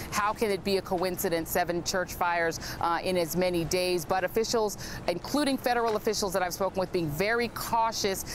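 A woman's voice: medium pitch, noisy background. Woman reporting live outdoors. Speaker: field correspondent. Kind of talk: live news field report. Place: outdoors beside a burned church.

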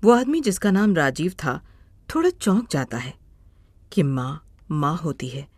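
A woman's voice: low voice, big pitch swings, a little slow, darkish tone, dry recording. A woman narrating a scripted moral story as its storyteller.

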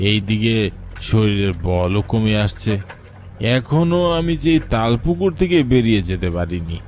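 A man's voice: lowish voice, a little mellow, slight background noise. A man playing a weary, frightened village rickshaw puller, telling a cartoon ghost story in an anxious, suspenseful, wondering way. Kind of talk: dramatic first-person horror story narration for a cartoon.

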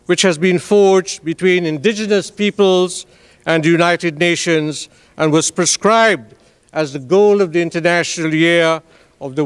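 A middle-aged man gives a formal prepared opening speech at a conference, in measured phrasing. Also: high voice, clear, resonant tone. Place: large hall, at a lectern. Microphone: stand microphone on lectern. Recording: reverberant hall.

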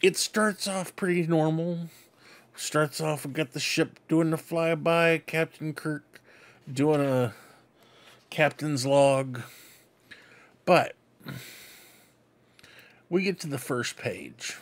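A man's voice: a little high, steady tone, slow, long pauses. A middle-aged man hosting a fan vlog, giving casual show-and-tell commentary in a rambling, conversational way. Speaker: host of a fan book club vlog. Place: cluttered room indoors at home. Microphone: desk microphone close in front.

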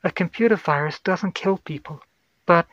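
Man acting an anxious woman crew member, urgent explanations, sci-fi horror audio story, higher pitch, dull recording. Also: normal speed, a bit bright, dry recording. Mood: grim, explaining. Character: anxious woman science officer on space crew.

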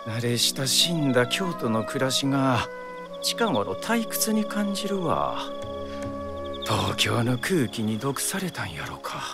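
Refined Kyoto gentleman for radio drama: Kyoto accent, unhurried, lively intonation, wry politeness. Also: mid-range voice, gravelly, over loud music, full character voice. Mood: pensive.

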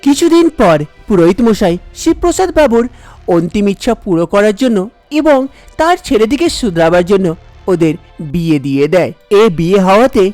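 A man's voice: sits high, darkish tone, no room sound. A man narrating a scripted cartoon folk story. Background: faint background music.

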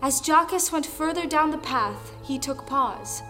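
A woman narrating a folktale audio play with deliberate, dramatic storytelling. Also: sits high, animated. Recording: music under the voice.

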